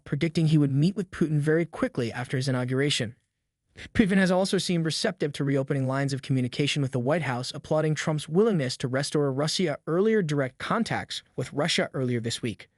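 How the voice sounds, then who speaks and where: A man's voice: a little high, no echo, studio quality. A man reading a news article aloud as scripted narration.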